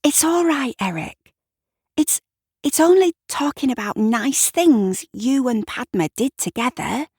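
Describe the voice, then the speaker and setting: A narrator acts a soft-hearted woman friend in an audio story. She coaxes and pleads gently but persistently, close and dry on the recording. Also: sits high, expressive, measured pace, breathy edge, no echo, pitched above the performer's own voice, exaggerated voice. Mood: pleading.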